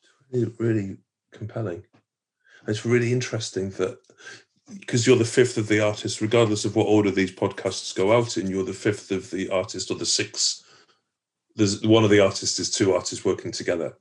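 A man's voice: lowish voice, fairly even intonation, normal speed. A man hosting a podcast interview, asking long winding questions with enthusiasm and laughter.

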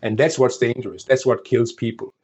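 A man's voice: mid-range voice, fairly even intonation, fairly quick, a little mellow, slightly rough, highs cut off. A man with a Danish accent, a native Danish speaker, explaining things at length as an interview guest, often restarting his sentences. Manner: explanatory, with frequent restarts and "you know". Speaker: interview guest, former project planner. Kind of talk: conversational interview.

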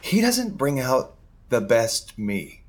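An actor playing a flustered husband who stammers while defending himself. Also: male voice, mid-range voice, huge pitch swings, takes it slowly, exaggerated voice.

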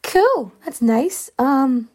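A woman with a fairly high but soft, mellow voice, talking her way through a story in a loose, chatty way with lots of fillers. Her pitch jumps up and down as she gets into it, and her voice is wearing thin and a little hoarse. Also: very animated, drawn-out words. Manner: chatty, many fillers, big pitch swings. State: losing her voice. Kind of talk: casual storytelling.

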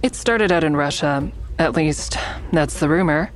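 A woman playing a guarded, earnest woman in an audio drama, speaking in a measured, evasive way. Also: sits low, soft background music. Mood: serious, explaining. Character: guarded, earnest woman negotiating with police, also narrating. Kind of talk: naturalistic audio drama acting and narration.

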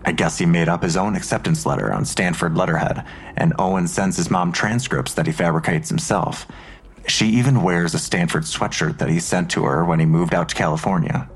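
A man's voice: low voice, steady tone, a little fast, husky. An actor playing a matter-of-fact male investigator methodically laying out a case in an audio drama.

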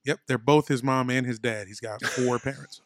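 A man's voice: mid-range voice, fairly even intonation, moderate pace. A man co-hosting a podcast in casual comedic banter, riffing playfully. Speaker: podcast co-host.

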